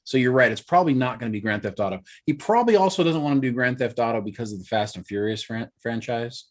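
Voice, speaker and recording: A man's voice: medium pitch, lively intonation, fast talker. Man in his forties, musing chatter. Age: 40s. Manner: musing, thinking aloud. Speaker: podcast co-host. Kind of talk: casual chat.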